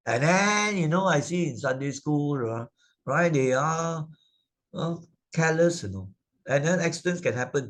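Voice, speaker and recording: A man's voice: medium pitch, fairly bright tone. A man with a Malaysian English accent, a Buddhist Sunday school teacher explaining moral stories to children over a video call, full of "uh" and tag questions like "right?" and "isn't it?".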